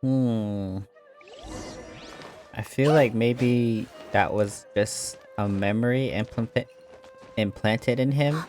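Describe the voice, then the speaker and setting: A man hosting a gaming video, casually commenting and reading dialogue aloud in a halting way. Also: medium pitch, a little mellow. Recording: game music playing underneath.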